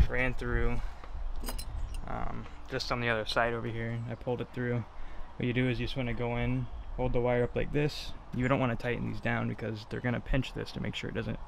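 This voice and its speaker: A man's voice: medium pitch, flat delivery, normal speed, gravelly, a little hiss or hum. A man giving casual, offhand hands-on how-to commentary, half muttering to himself as he works. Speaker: DIY vlogger installing a CB radio.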